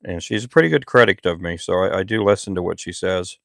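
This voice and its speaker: Gravelly low-voiced man chatting on a live stream. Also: fast. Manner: relaxed, rambling, frequent fillers. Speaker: lure maker live streamer. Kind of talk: casual live stream chat.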